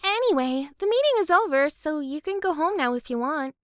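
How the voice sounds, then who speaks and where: A woman voicing a sweet, composed teenage club president in an anime visual novel fan dub, polite and gently authoritative. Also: sits high, lively intonation, brisk, no room sound, clean studio sound. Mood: reassuring.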